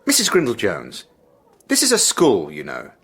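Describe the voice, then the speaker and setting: An actor playing an earnest, polite, beleaguered headmaster in a radio drama, with a dry, formal, measured manner. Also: male voice, on the low side, wildly swooping pitch, a bit bright, slightly rough, exaggerated voice. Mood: exasperated, wry. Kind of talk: radio drama narration and dialogue.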